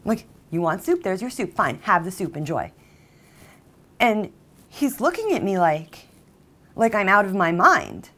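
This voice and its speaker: An actress plays a jilted, obsessive woman in a dramatic monologue. Her medium-pitched voice sounds natural and conversational. She tells her story haltingly, with an unstable, emotionally volatile edge. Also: big pitch swings, a bit bright. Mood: indignant, agitated. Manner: halting, emotionally volatile, mimics others' exclamations. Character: jilted, obsessive woman confessing a violent jealous outburst.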